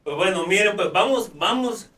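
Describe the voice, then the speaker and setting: Talky guy hosting a live show, kinda high voice, echoey room, mic far off. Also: lively intonation, normal speed, sharp tone. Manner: chatty, many fillers and restarts. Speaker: host. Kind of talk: live talk show chat. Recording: roomy, distant mic.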